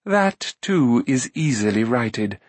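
A man's voice: mid-range voice, big pitch swings, takes it slowly, no room sound. A man voicing an imperious, cunning and cruel enchantress in an audiobook, with haughty, formal, commanding speech. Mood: cold, menacing. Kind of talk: audiobook character acting.

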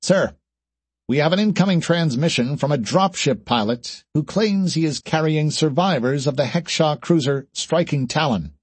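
A man's voice: a little high, normal speed, slightly rough, no room sound, voice pushed up. An alert starship communications officer acted by a man reports formally in a raised voice. It is a science fiction audiobook character, with a close, dry sound. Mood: urgent report.

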